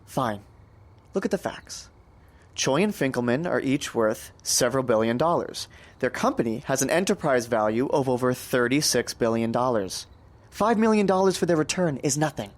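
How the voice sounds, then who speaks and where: An actor playing a scheming, self-deluded young American entrepreneur turned kidnapper in an audio drama, talking in a glib, grandiose way. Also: male voice, mid-range voice, lively intonation, moderate pace, close to an everyday voice. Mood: coolly persuasive.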